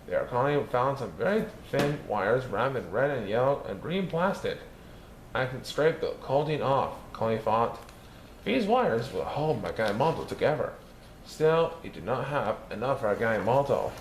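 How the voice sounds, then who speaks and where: A man reading a children's picture book aloud, lifting his voice high for the characters' lines. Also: normal speed. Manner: lively, raising his pitch for characters' lines. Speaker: storybook reader. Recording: echoey room with faint background noise.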